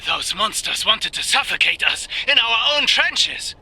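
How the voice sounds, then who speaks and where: Forceful, ruthless army commander. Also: male voice, very high voice, sing-song, unhurried, husky, exaggerated voice. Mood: vengeful. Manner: commanding, impassioned. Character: ruthless, vengeful German military commander of noble rank.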